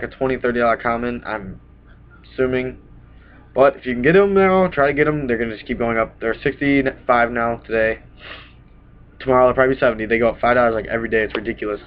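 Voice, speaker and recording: A man's voice: mid-range voice, slight background noise. A young man getting over a cold, casually rambling through a card deck to a webcam in a small room, often punctuating with "bam". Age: young adult. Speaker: card game vlogger. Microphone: webcam at desk. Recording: dull lo-fi webcam audio.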